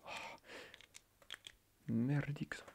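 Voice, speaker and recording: A man playing a fussy, flustered schoolteacher in a soft-spoken ASMR roleplay, hesitant, breathy and stiffly formal, often muttering near a whisper. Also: slow, slight background noise, lightly acted. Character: fussy, flustered male schoolteacher on first day.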